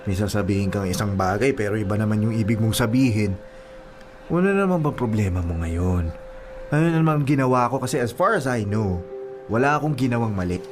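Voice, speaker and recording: An actor playing an apologetic, exasperated young boyfriend in a Filipino radio drama, pleading in a whiny, colloquial way. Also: male voice, on the low side, animated, fairly quick, faint music behind, natural voice.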